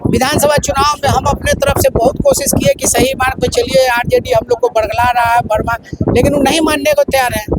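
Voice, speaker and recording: High-voiced emphatic politician. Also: male voice, animated, a little fast. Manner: emphatic, repeats phrases. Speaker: politician interviewed. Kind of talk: news interview answers. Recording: noisy outdoor news clip.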